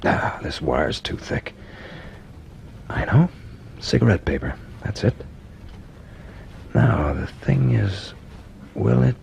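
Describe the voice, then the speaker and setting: Keen young student, lively pitch. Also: male voice, slow pace, vocal fry, pauses often, full character voice. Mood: eager, excited. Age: young adult. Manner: informal, excitable, hesitant bursts. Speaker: actor in a radio drama. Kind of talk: radio drama acting. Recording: faintly noisy radio drama recording.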